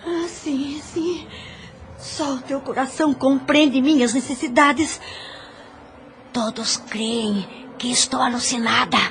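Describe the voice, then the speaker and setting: A woman playing an anguished, guilt-ridden widow who sees the devil, in a melodramatic audio drama. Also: high voice.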